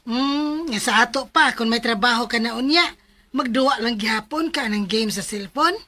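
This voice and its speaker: A woman's voice: a little high, moderate pace, sharp tone. A woman with a Cebuano Filipino accent playing a scolding mother nagging her grown son in a radio drama.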